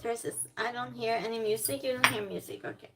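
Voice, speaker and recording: A woman's voice: a little high, fairly even intonation, fast, heavy background noise. A woman who is not a native English speaker chats casually on a livestream, rambling along and answering viewers as she goes. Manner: rambling, reads viewer comments aloud and replies. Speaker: live streamer. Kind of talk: casual livestream chat while cooking. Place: indoors at home while cooking.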